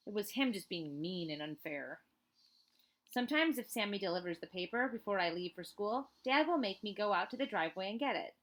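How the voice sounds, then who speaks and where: A woman reading a children's book aloud as a teacher, in an expressive, chatty way with casual asides. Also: medium pitch. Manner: expressive, chatty, emphatic on list items.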